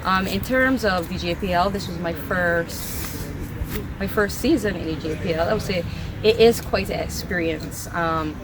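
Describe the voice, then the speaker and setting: A young woman with a Barbadian accent and a fairly low voice for a woman. She talks openly and chattily. Steady background noise sits behind her. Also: moderate pace. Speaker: football referee. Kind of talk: interview answer.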